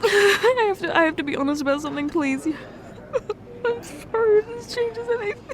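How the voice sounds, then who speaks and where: A woman performing an emotional ASMR roleplay confession, tearful and halting, repeating words and breaking off. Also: very high voice, animated, crowd noise. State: tearful, crying.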